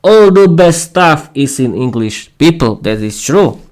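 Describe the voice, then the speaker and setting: Male podcast host and teacher, slightly high voice, slow delivery, halting read-aloud with stumbles and off-script asides. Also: big pitch swings, a little mellow. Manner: halting reading with stumbles and repeated words. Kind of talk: reading a written text aloud on a livestream with casual asides.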